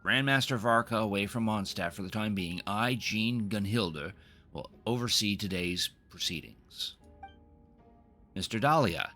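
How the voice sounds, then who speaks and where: Man reading as a solemn, bright-toned knight commander. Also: fairly low pitch. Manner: formal, read-aloud. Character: solemn, formal woman knight commander presiding over trial. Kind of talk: reading game dialogue aloud.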